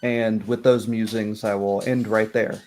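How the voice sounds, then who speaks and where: A man's voice: on the low side, little pitch movement, measured pace, darkish tone, a little hiss or hum. Man casually reflecting as a game master. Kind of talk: casual post-game discussion.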